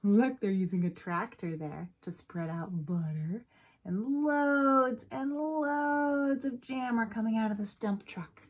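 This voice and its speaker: Slow-paced woman reading a storybook aloud. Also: on the high side. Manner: expressive, emphatic, asks the listeners questions. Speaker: teacher reading a picture book aloud to children. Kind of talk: animated storybook read-aloud with asides to young listeners.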